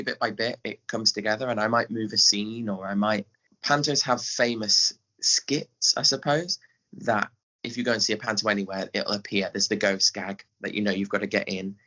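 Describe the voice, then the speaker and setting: Bright-voiced English man, deepish, slightly dull audio. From England. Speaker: guest, pantomime director and scriptwriter. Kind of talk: casual interview chat.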